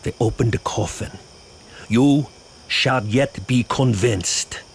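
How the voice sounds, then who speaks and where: An actor playing a learned older professor and vampire hunter in a gothic audio drama, grave, probing and deliberate. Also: male voice, mid-range voice, vocal fry, a little hiss or hum. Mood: solemn. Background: music.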